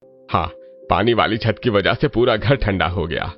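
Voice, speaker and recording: A man's voice: lowish voice, unhurried, lo-fi sound, faint music behind, lightly acted. A man playing an older, comfort-loving father-in-law in a cartoon story. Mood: matter-of-fact.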